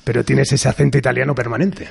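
A man's voice: medium pitch, flat delivery, quick pace, vocal fry, a little mellow, breathy edge. A man hosting a radio interview show, giving formal, polished introductions and asking questions. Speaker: radio host.

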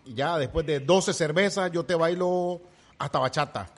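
A man's voice: on the high side, normal speed. A man hosting a podcast in Miami, interviewing a guest with joking, teasing banter. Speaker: podcast host. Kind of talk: casual interview chat.

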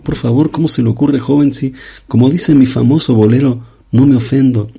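A man's voice: medium pitch, fairly even intonation, fairly quick, vocal fry, dark tone, soft background music, natural voice. A man voicing a pompous, absurd aging bolero crooner who is a guest on an interview show, in a comedy sketch. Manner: grandiose, addresses host as young man.

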